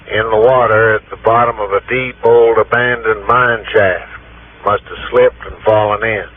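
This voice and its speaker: A man's voice: lowish voice, steady tone, moderate pace, natural voice. Laconic, wary American desert local, male actor, straight-talking, colloquial, thin vintage radio sound with background noise. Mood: grim, halting. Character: plain-spoken, wary male desert motel keeper. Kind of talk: 1950s-60s American radio drama acting. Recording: tinny, noisy old radio recording.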